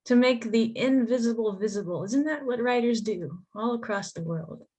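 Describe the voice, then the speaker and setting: Woman hosting an online reading, kinda halting, lots of ums and restarts. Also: fairly high pitch. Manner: warm but halting, with restarts and fillers. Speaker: event host. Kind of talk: introducing a guest.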